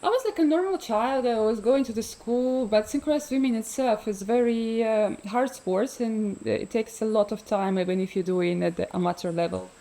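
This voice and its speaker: A woman's voice: a little high, normal speed, few pauses, warm tone, low-quality recording. A woman from Ukraine, likely in her thirties, speaking as an interview guest, hesitant with many fillers and frequent laughs. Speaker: freediver guest. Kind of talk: relaxed interview conversation.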